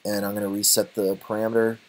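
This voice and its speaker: A man giving an unscripted software tutorial, thinking aloud in a halting, tentative, low-key way. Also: flat delivery. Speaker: tutorial presenter.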